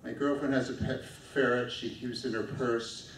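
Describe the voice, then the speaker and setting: A middle-aged man reading his own poem aloud at a lectern on a small dark stage, in a steady, measured way. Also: medium pitch. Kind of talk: poetry reading. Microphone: stand mic at a lectern, filmed from far off. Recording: echoey room from far off, faint noise.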